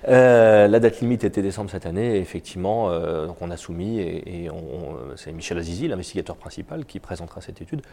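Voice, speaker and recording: A man with a cold, an executive answering interview questions in a halting way with many repeated words and fillers. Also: sits low, lively intonation, creaky, mellow, slight background noise. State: has a cold. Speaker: biotech company executive.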